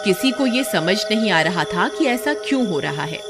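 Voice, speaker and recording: A woman's voice: medium pitch, lively intonation, normal speed. A woman narrating a scripted story as a storyteller. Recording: loud music under the voice.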